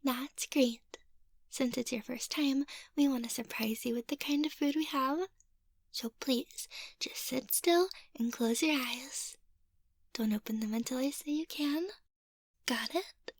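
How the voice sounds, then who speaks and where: A woman playing a playful, sweet young vampire mistress in an ASMR roleplay, soft-spoken and teasing, often slipping into a whisper. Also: high voice, a little slow, no echo. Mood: playful, coaxing.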